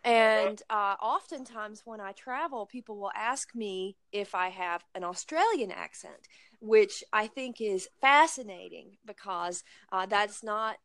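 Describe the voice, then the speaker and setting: A woman's voice: mid-range voice, measured pace, no room sound. Chatty Appalachian woman in her forties. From Blue Ridge Mountains, United States. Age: 40s. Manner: encouraging, chatty, warm praise. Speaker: conversation partner. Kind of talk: casual conversation practice.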